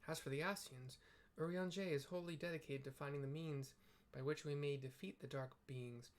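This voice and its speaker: A man voicing a formal, composed scholar giving counsel in measured, archaic, courteous phrasing. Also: a little high, fairly even intonation, lightly acted. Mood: measured, concerned.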